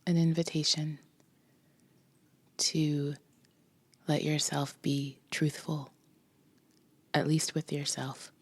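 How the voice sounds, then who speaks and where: A woman leading a guided meditation with a soft, breathy voice, gently instructing in short phrases with pauses between them. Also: low voice, no echo. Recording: music behind the voice. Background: music.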